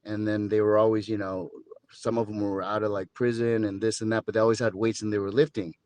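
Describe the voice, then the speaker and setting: A man's voice: lowish voice, level tone, quick pace, darkish tone. A man in his fifties from Southern California, telling his story as a podcast guest with dry jokes and rambling asides. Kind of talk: casual interview talk.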